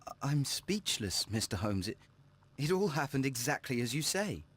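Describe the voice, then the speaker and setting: An actor playing a nervous, poor young man in a video game, with a husky, halting, stammering delivery. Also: mid-range voice, big pitch swings. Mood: flustered, amazed. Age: young adult. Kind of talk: scripted video game dialogue.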